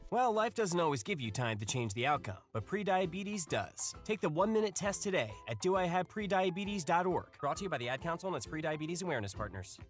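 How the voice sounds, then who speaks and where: A man's voice: on the high side, sing-song, fast, few pauses, over music. A man giving a scripted read as a public service ad announcer.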